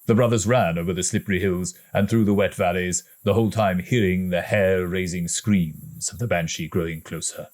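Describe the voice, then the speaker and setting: A man reading a story aloud as an audiobook narrator, in a dramatic, expressive storytelling manner. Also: low-pitched, moderate pace, few pauses. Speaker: audiobook reader.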